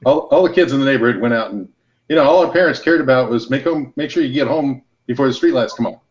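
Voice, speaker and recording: A man's voice: medium pitch, fast. Podcast guest, male, laid-back storyteller, slightly muffled lo-fi sound. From Los Angeles and Hawaii. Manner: relaxed, storytelling, advice-giving. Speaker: guest entrepreneur. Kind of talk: casual podcast conversation.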